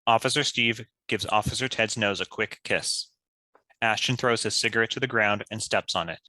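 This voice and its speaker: A male narrator reading out script action. His voice is fairly low and forceful in tone. It is recorded dry, with no room sound. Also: normal speed, no room sound, low-quality recording.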